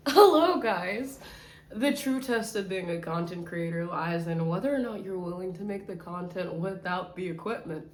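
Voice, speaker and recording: A woman's voice: lowish voice, normal speed, a little hiss or hum. A woman vlogging from her bedroom on the camera mic, playful and self-mocking, rambling and slipping into sing-song bits. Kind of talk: rambling casual vlog. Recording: echoey room sound.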